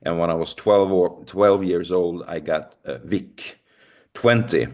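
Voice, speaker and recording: A man's voice: low voice, fairly even intonation, measured pace, darkish tone. A middle-aged Swedish man with a Swedish accent, speaking English as a second language, giving a recorded lecture with frequent ums and uhs. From Sweden. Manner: hesitant, many ums and uhs, self-deprecating.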